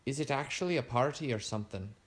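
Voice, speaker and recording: A man's voice: medium pitch, quick pace, pitched above the performer's own voice, lightly acted. A man reading aloud, voicing an eager young girl inventor who asks curious questions.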